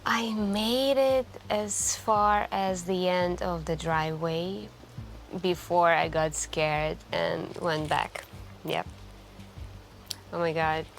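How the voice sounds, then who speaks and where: A woman playing a wistful, soft-spoken café stranger who confides intimately and hesitantly in an ASMR roleplay. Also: on the low side, little pitch movement, vocal fry, soft background music. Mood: nostalgic, musing. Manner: intimate, hesitant, musing, with gentle pauses.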